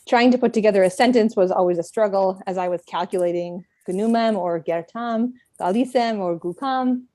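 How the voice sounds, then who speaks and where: Reflective woman with a mellow voice. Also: medium pitch, no room sound. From United States. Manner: reflective, measured, with gentle self-deprecation. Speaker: speaker at a book event. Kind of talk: reading a prepared personal talk.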